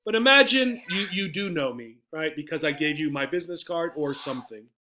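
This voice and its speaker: Bright-voiced male English teacher explaining. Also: fairly high pitch, lively intonation, fairly quick, a little hiss or hum. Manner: conversational, often checks in with "right?". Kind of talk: explanatory lesson with example phone messages.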